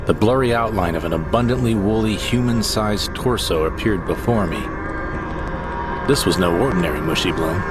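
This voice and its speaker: Deep-voiced man narrating a comic audio drama. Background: music.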